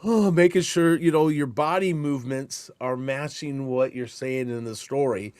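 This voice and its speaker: Male co-host, medium pitch, casual explainer who thinks aloud, dry close sound. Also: normal speed, a little mellow, clear, resonant tone, no echo. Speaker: podcast co-host and Christmas performer. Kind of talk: casual instructional discussion.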